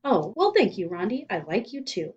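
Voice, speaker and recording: A woman's voice: medium pitch, very animated, moderate pace, a little mellow, distant mic, close to an everyday voice. A woman plays a kindly man who supervises a school playground in a children's storybook. He is mild and apologetic, reasoning with emphasis, on a slightly dull recording. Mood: kind, protesting.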